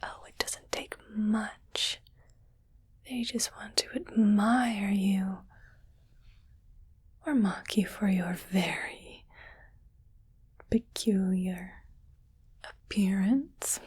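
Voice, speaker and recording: A woman voicing a smug, wealthy alien collector of human pets in a condescending, whispered ASMR roleplay. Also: medium pitch. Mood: condescending, curious. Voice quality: breathy whisper. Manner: slow, condescending, coaxing.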